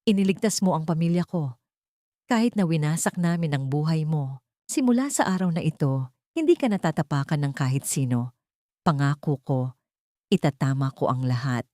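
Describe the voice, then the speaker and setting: A woman's voice: low-pitched, lively intonation, normal speed, warm tone, dry recording, studio quality. A Filipino woman voicing a humbled, wealthy older man, solemn, earnest and pleading, in an audio story. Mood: tearful, resolute.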